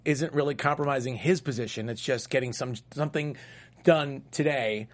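A man's voice: mid-range voice, normal speed. A man co-hosting a casual TV recap chat, rambling and restarting his sentences. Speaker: TV recap show co-host.

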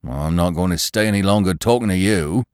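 Grouchy worn-out cop in a storybook, a man doing him, gruff and morose, lowish voice, dry close sound. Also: fairly quick, darkish tone, dry recording, natural voice. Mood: grumpy, harassed. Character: grumpy, harassed police inspector, man. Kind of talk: audiobook reading.

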